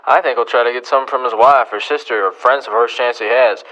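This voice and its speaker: A man's voice: mid-range voice, steady tone, a little fast, bright, forceful tone, close to an everyday voice, low-quality recording. A man playing a stern, world-weary American marshal in a Western radio drama, terse and sardonic. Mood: troubled.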